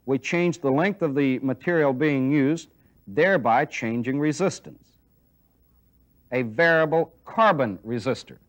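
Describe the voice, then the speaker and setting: A middle-aged American man giving a technical lecture as a military instructor, deliberate and methodical, stressing key points by repeating them. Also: mid-range voice. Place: indoor training film classroom studio with chalkboard and desk.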